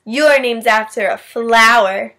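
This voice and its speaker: A woman's voice: on the high side, slow pace, sharp tone, full character voice. A woman voicing a smug, teasing little schoolgirl in a storybook, speaking emphatically. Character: snooty, teasing little schoolgirl, a know-it-all.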